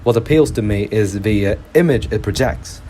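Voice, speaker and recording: Low-voiced man with adopted British accent. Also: moderate pace, dark tone, a little hiss or hum. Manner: formal, ornate, hesitant phrasing. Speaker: host of an educational episode on English. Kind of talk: semi-scripted monologue lecture.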